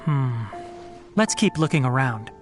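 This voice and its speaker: An actor playing a thoughtful, measured investigator companion in a fantasy video game, pensive and deductive. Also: male voice, medium pitch, lively intonation, over music, natural voice. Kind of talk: English video game voice acting.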